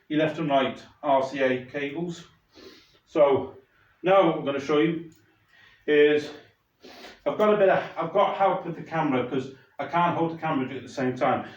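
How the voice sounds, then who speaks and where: A man, a DJ, giving a casual how-to demonstration while handling gear, rambling and restarting sentences as he goes. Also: a little high. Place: room with a camera operator. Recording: roomy, slightly dull home video audio.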